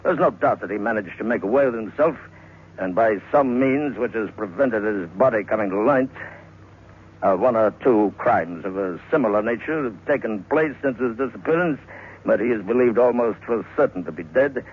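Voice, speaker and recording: A man's voice: lowish voice, lively intonation, normal speed, narrow, tinny audio, slight background noise, natural voice. A man playing a garrulous waxworks manager in old radio horror style, hesitant and chatty, relishing the gruesome. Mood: ghoulish relish. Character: garrulous waxworks manager, ghoulishly proud of his murderers. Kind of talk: 1940s radio horror acting. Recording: thin old radio recording, music underneath.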